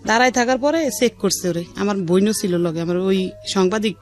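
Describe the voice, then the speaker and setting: A woman's voice: mid-range voice, brisk, darkish tone. A middle-aged woman with a colloquial Bangladeshi dialect giving a rambling, repetitive personal account as a radio interview guest. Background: faint music.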